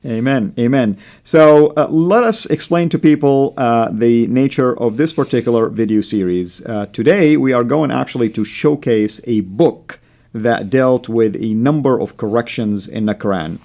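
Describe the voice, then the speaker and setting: A man born in Saudi Arabia, Arabic his first language, who now speaks with an American accent. He hosts a studio discussion in a measured, unhurried way, filling pauses with ums and uhs. His voice sits at an ordinary middle pitch. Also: mellow. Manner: measured, with frequent fillers. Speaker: host.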